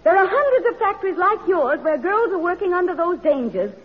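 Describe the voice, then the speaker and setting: A high, swooping female voice plays an idealistic, determined reformer. She speaks formally and emphatically in old radio drama style. The recording is dull and dated. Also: very animated, a little fast. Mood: impassioned, challenging. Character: earnest, determined woman social reformer, idealistic and passionate. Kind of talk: vintage radio drama acting.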